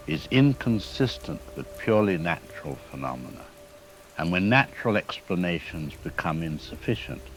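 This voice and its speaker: Male actor as troubled scientist, deep pitch, cautious, formal, technical, radio drama, faint rain ambience. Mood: grave, troubled. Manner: measured, formal, careful. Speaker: expert guest. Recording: steady rain-like background noise.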